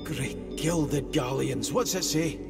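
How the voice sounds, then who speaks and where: An actor voicing a gruff, boisterous male dwarf adventurer in a video game, exclaiming over what he finds. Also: on the high side, slow pace, loud music behind the voice. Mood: astonished. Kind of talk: video game character dialogue.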